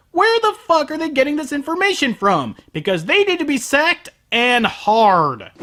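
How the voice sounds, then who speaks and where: A man's voice: extremely high pitch, sharp tone. A man delivering a scripted comedic review as host, sarcastic, exasperated and prone to swearing. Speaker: host of an anime review show.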